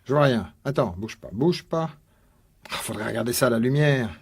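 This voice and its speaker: A man's voice: medium pitch, animated, normal speed, darkish tone, breathy edge. An actor playing a worried, suspicious father in a French radio drama, insistently questioning and stammering over repeated words. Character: worried, suspicious divorced father, stern with his daughter.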